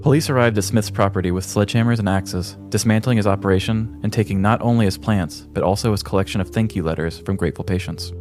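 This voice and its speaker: Low-voiced man narrating dramatically. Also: quick pace, hardly any pauses, darkish tone, soft background music. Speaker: podcast host. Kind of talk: scripted comic true-crime narration.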